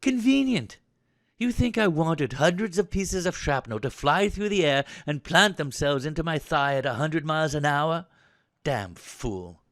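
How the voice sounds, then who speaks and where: A man's voice: fairly high pitch, normal speed, few pauses, a bit bright, no room sound, studio quality, natural voice. Haughty upper-class English young soldier, acted by a man. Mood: exasperated. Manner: haughty, sarcastic, clipped. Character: posh, well-bred, injured young British soldier, haughty. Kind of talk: audio drama acting.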